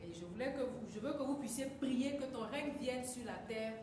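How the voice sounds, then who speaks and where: A woman interprets a sermon phrase by phrase, often correcting herself and filling gaps with hesitations. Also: a little high. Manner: quick, self-correcting, hesitant fillers. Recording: echoey hall from far off, noisy.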